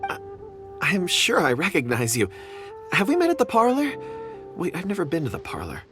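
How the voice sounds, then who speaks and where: A man voicing an affable, courteous and enthusiastic gentleman rock expert in a video game, eloquent and slightly theatrical. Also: high voice, wildly swooping pitch, moderate pace. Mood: cheerful, intrigued. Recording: music behind the voice.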